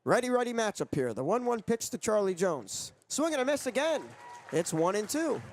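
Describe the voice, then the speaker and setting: A man's voice: high voice, sing-song, normal speed, slight background noise. A young man doing sports broadcast commentary as a play-by-play announcer and reporter. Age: young adult.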